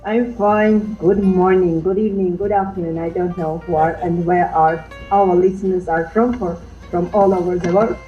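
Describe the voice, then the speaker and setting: A woman in her thirties with an Armenian accent, speaking as a guest teacher on a podcast and often pausing to search for words. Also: on the low side, fairly even intonation, dull audio, faint music behind. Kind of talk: podcast interview.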